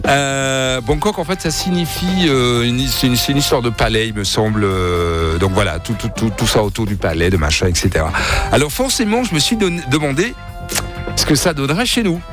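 A man's voice: medium pitch, sing-song, moderate pace, over music. A man hosting a morning radio show, joking and playing it up theatrically. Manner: playful, theatrical delivery. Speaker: radio host.

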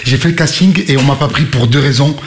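A young man from Marseille with a Marseille accent doing a stand-up set, telling stories with punchy, animated mock outrage. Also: mid-range voice, fast, slight background noise. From Marseille, France. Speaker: stand-up comedian. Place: comedy club stage. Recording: echoey club with loud crowd. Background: audience laughter.

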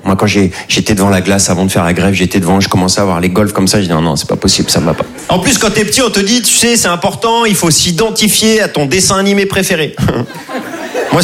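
Self-mocking man in his forties. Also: lowish voice. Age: 40s. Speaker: stand-up comedian. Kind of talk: stand-up routine. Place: comedy stage before audience. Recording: live show with loud crowd.

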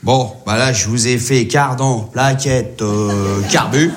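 A man's voice: medium pitch, takes it slowly, natural voice. A man playing a matter-of-fact psychologist who works as a car mechanic, chatting familiarly to a customer in a comedy sketch. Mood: matter-of-fact, coaxing. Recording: noisy recording with clicks.

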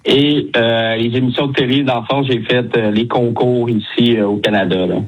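A man's voice: mid-range voice, fairly even intonation, moderate pace, husky, highs cut off. A man with a Québécois accent, a chef interviewed on radio, easygoing and just woken up.

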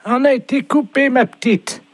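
A man's voice: very high voice, moderate pace, no room sound. A man voicing a hard-of-hearing, chatty and stubborn old lady in a radio prank phone call, fussy and sweetly condescending. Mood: stubborn, confused.

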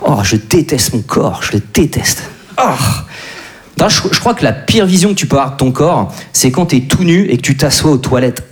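A man's voice: fairly high pitch, lively intonation, moderate pace. A man in his forties doing a crude, brash, self-deprecating stand-up set. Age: 40s. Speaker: stand-up comedian. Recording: live show with audience.

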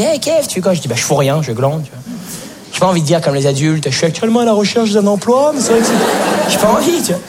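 A nineteen-year-old man doing a stand-up routine on stage, animated and talking to the audience while acting out voices. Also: high voice. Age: teens. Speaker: stand-up comedian. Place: stage before a live audience. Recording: live stage, crowd and noise.

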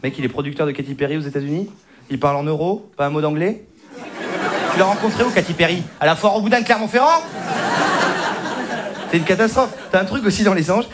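A man performing a quick, mocking stand-up set in a comedy club, slangy and acting out characters. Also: high-pitched. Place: comedy club stage with audience. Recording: live club with loud crowd laughter.

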